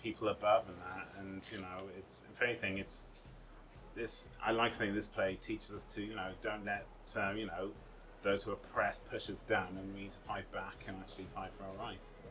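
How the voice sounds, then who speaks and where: A man's voice: on the low side, flat delivery, moderate pace, a bit bright, a little hiss or hum. A man in his mid-thirties giving earnest, emphatic, unscripted interview answers outdoors. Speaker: actor. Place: outdoors on a sea front. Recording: distant mic, music behind.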